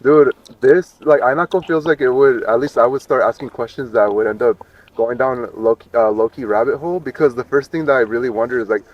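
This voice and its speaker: A man's voice: mid-range voice, fairly quick. Rambling interviewer guy, lo-fi sound. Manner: rambling, hesitant questions with many fillers. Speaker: interviewer and filmmaker. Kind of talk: casual interview questions.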